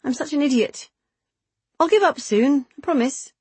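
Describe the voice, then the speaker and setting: A woman's voice: high-pitched, expressive, normal speed, darkish tone, no echo, pitched above the performer's own voice. A woman voicing a flustered, guilty young woman in her twenties in an audiobook story, breathless and anxious. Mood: breathless, evasive. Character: guilty, flustered 26-year-old woman having a secret affair.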